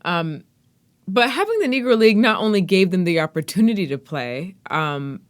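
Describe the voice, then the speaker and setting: A woman hosting a podcast interview, talking in a soft-spoken, measured way. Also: medium pitch, big pitch swings. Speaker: podcast host. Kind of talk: casual interview chat.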